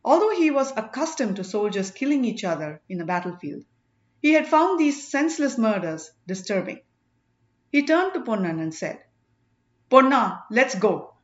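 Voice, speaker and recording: A woman's voice: medium pitch, big pitch swings, normal speed, a little mellow, reverberant, muffled recording. A woman narrating an audiobook, reading a scripted story.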